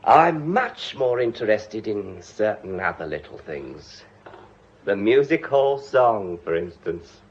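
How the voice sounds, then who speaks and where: An actor playing a smug, menacing blackmailer in a radio drama, insinuating and mock-polite. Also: male voice, lowish voice, very animated, slow. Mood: taunting. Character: sly, smug, menacing male gallery employee turned blackmailer. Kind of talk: British radio drama acting. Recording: dull, muffled radio drama recording.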